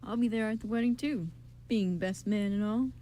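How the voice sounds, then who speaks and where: A woman's voice: mid-range voice, normal speed, noisy background, close to an everyday voice. A woman voicing an easygoing, friendly, upbeat young man with a casual, reassuring way of talking in an amateur radio drama.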